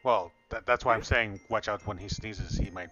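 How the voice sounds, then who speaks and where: A man's voice: fairly low pitch, moderate pace, dull audio, over music, natural voice. Man acting an easygoing, caring dad. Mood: casual, caring. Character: easygoing, caring father minding his dangerous young child. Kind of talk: improvised online roleplay.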